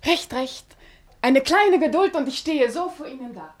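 An actress playing a young, dutiful, anxious bride in a classical German radio play, with soft, hesitant, formal delivery. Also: female voice, sits high, expressive, moderate pace. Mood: tender, playful. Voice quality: breathy. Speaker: actor.

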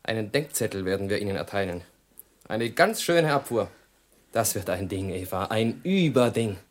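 An actor playing a confident, defiant idealistic engineer in a German radio drama, brash and assured. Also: male voice, on the low side, animated, moderate pace, close to an everyday voice. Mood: bold, reassuring. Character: confident, defiant male engineer, naively heroic idealist Adam.

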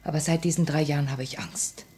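An actress in a 1950s German radio drama playing an anxious but composed female revolutionary, speaking in an earnest, measured, solemn way. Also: deep voice, fairly even intonation, warm tone, slightly rough, soft background music. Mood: quietly fearful. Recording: old 1950 radio play recording.

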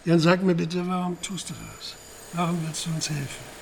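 A man's voice: a little high, normal speed, gravelly, a little hiss or hum. An actor playing a concerned man taking testimony in a radio crime drama, asking short, careful questions. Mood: concerned, probing.

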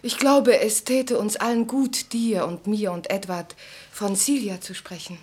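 An actress playing an anxious, self-reproachful, refined wife in a radio play, with a hushed, intimate delivery. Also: female voice, mid-range voice, steady tone, measured pace, slightly rough. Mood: uneasy. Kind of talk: German radio play acting.

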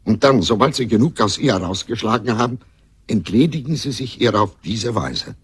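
An actor playing an earnest, compassionate doctor, the detective's companion, in a German radio drama. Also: male voice, medium pitch, animated, normal speed, husky. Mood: appalled.

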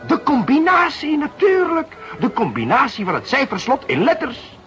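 A man's voice: very high voice, wildly swooping pitch, normal speed, fairly bright tone, highs cut off, faint music behind, heavily acted. A man acting an eager, exasperated young police detective in a radio play, theatrical, sarcastic and emphatic. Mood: excited.

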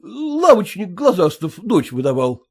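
A chatty village schoolteacher teases an old friend in a storybook reading, as a male narrator puts him on. His voice sits high and swings widely. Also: wildly swooping pitch, unhurried, breathy edge, exaggerated voice. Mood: teasing. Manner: teasing, talkative.

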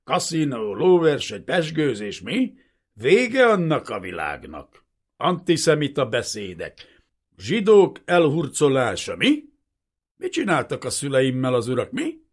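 A man's voice: a little high, wildly swooping pitch, breathy edge, pitched above the performer's own voice, exaggerated voice. A man voicing a cruel, sneering young Soviet lieutenant who snarls and barks with contempt in a storybook reading. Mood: snarling, vengeful.

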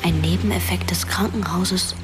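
Woman as a hushed ghostly teenage girl, music behind. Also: on the low side, fairly even intonation, moderate pace, distant mic, lightly acted, rough recording. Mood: hushed, somber. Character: dead teenage girl, soft-spoken, reproachful ghostly narrator. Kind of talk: radio drama narration.

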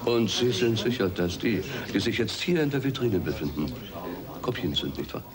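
An actor playing a probing, condescending police inspector in a German radio play, with halting questions that often end in a tag. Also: male voice, fairly low pitch, sing-song, crowd noise.

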